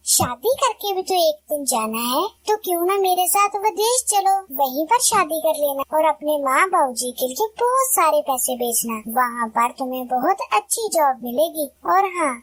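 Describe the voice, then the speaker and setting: A woman's voice: high voice, expressive, normal speed, few pauses, fairly bright tone. A woman voicing a scheming, sweet-talking female bird in a cartoon, coaxing and persuasive.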